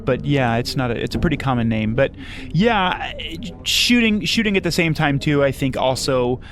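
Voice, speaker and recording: A man's voice: medium pitch, expressive, normal speed, crowd noise, natural voice. A man playing an eager, opinionated sci-fi screenwriter, insistent and earnest, nitpicking in a casual conversational style. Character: eager, opinionated male sci-fi screenwriter pitching big ideas. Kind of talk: improvised-sounding mock meeting, conversational. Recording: room ambience with some noise.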